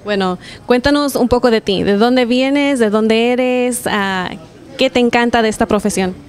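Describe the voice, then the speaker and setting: A woman's voice: fairly high pitch, a little slow, clear, resonant tone. A woman reporter asking interview questions off camera at a racetrack, in a plain, friendly way with a few fillers. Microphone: handheld mic held to guests, off camera.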